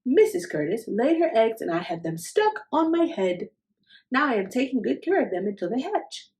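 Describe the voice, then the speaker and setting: A woman's voice: on the high side, sing-song, moderate pace, a little mellow, pitched above the performer's own voice. A woman voicing a proud, cheerful father fish in a children's storybook, chirpy and upbeat. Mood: content. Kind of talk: children's storybook read-aloud.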